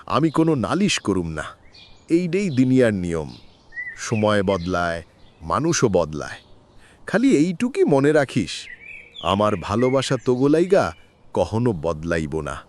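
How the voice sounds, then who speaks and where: A man acts out a resigned old father in a melodramatic cartoon. His rural Bangladeshi Bengali speech is plaintive and reflective, swinging widely in pitch, with faint noise and birdsong behind it. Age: older. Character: sorrowful, resigned old father being sent away.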